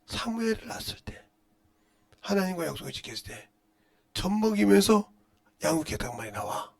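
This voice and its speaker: A middle-aged man preaching as a pastor in a blunt, scolding manner, firing rhetorical questions and swinging between muttered asides and loud outbursts. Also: very animated, brisk, creaky. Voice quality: loud, booming voice. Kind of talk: impassioned Korean-language sermon with rhetorical questions.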